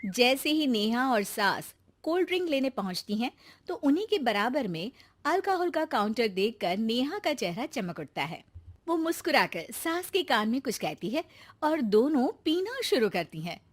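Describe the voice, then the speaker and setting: A woman's voice: a little high, big pitch swings, normal speed. A woman narrating a scripted cartoon story as its storyteller.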